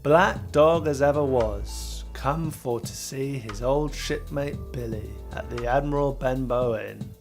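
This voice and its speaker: A man voicing a sly old sailor from a storybook, menacing and wheedling, with rough speech and dropped H's. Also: mid-range voice, slow, hardly any pauses, soft background music. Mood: bold, taunting.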